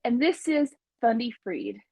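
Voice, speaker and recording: A woman's voice: a little high, huge pitch swings, measured pace, a little mellow, husky, dry recording. A woman hosting a casual interview on her channel, speaking in a friendly, appreciative way.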